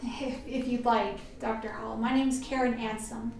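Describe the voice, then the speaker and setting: A woman's voice: a little high, flat delivery, measured pace, vocal fry, darkish tone. Woman acting an earnest technician, very echoey. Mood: explaining. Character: earnest, nervous female medical technician. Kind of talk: amateur radio play acting. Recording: very echoey room, distant, faint music.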